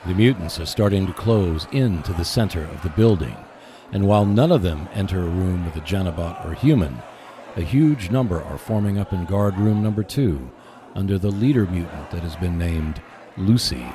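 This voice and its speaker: A man's voice: low voice, expressive, normal speed, noisy background. A man narrating a scripted radio play as host, in a dramatic storytelling manner.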